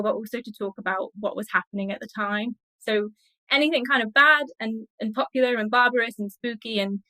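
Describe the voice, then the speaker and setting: A woman's voice: mid-range voice, pushed and bright, no echo, poor audio. A woman speaking as an academic interview guest, explaining things in a chatty, colloquial way with loose lists. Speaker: academic guest, literature researcher. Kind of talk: informal explanatory interview talk.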